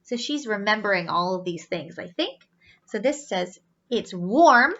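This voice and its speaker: A woman's voice: mid-range voice, expressive, moderate pace, a bit bright, lo-fi sound. A woman reading a children's storybook aloud expressively, adding explanatory asides and wondering aloud to young listeners.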